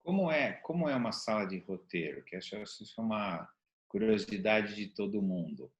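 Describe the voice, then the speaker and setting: A man's voice: on the low side, expressive, moderate pace, highs cut off. A Brazilian man acting as interviewer, putting questions in a conversational way. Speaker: interviewer, host. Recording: roomy, echoing sound.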